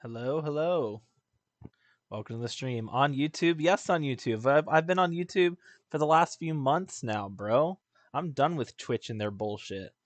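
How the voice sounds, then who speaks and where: A man's voice: mid-range voice, measured pace, dry recording. A man chatting casually as he streams a video game, rambling in a slangy, jokey way. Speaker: live streamer.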